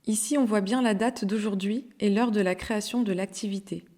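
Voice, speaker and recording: Mellow-voiced woman narrating a tutorial. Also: medium pitch, a little fast. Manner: instructional, step-by-step. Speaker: software training narrator.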